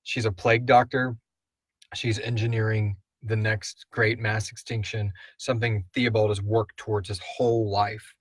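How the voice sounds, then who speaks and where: A man with a fairly low voice, recorded close and dry. He hosts a casual live Q&A in a loose, rambling style, joking irreverently and dropping "like" into his sentences. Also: level tone, moderate pace, no room sound. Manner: rambling, frequent "like". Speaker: host and writer of a horror audio drama.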